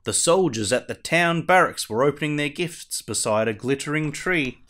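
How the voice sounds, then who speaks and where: A man acts out a masked superhero at storytime, reading a picture book to children. The voice sits slightly high and swings widely in pitch. The delivery is theatrical and deliberate, drawing out the ends of phrases. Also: animated, a bit bright. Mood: animated storytelling. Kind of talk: animated picture-book read-aloud.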